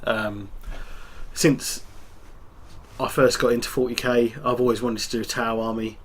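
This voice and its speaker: A man's voice: mid-range voice, measured pace, slight background noise. Bunged-up man with a cold. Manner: relaxed, rambling, full of ums. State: congested with a cold. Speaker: tabletop wargaming channel host. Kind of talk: casual hobby show-and-tell.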